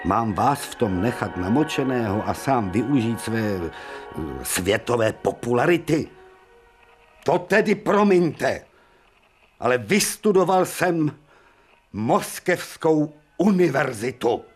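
An actor playing a proud, sardonic aging professor surgeon in a radio drama, talking theatrically with bursts of irony. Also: male voice, mid-range voice, very animated, faint music behind, lightly acted. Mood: lecturing. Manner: theatrical, ironic, hums and whispers. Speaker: Professor Preobrazhensky. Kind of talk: 1990 radio drama acting.

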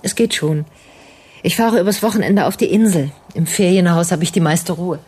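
A woman's voice: on the low side, normal speed, darkish tone, slightly rough. An actress playing a weary, irritable, reserved female doctor in a naturalistic radio drama, speaking in a curt, formal way. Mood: strained, subdued.

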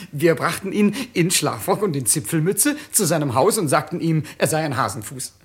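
Actor as a brash, mocking lieutenant. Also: male voice, on the high side, animated, moderate pace, natural voice. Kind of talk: 1950s German radio play acting.

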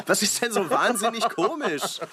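A man's voice: sits high, slow pace, background noise. An actor playing a carefree, teasing young man on a sailing trip in casual, naturalistic radio drama dialogue. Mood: amused, teasing.

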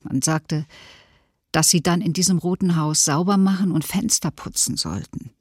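A woman's voice: lowish voice, normal speed, a little mellow, slightly rough, dry recording, clean studio sound. An actress playing a female survivor giving sober, reflective testimony in a documentary radio drama. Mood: bewildered, sober.

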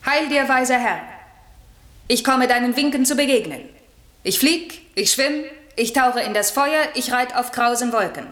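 A woman's voice: on the high side, expressive, normal speed, a bit bright, reverberant. A woman playing an airy spirit servant in a German classical radio play, eager and proud, declaiming verse theatrically and longing for freedom. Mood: exultant.

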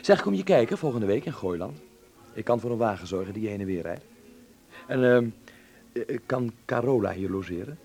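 A man's voice: fairly low pitch, expressive, a little fast, darkish tone. A man in a Dutch radio play, acting a defiant but affectionate young stage performer with an earnest, theatrical manner. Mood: evasive, calm. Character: defiant, affectionate young operetta actor living with his mother.

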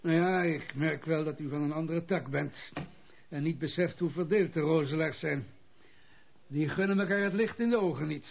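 A courteous old aristocratic general, speaks hesitantly in a fairly high, gravelly voice. He falters politely between phrases. The sound is tinny, like an old broadcast. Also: normal speed. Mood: wary. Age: older. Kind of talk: Dutch radio play acting. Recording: tinny phone-like old radio play recording.

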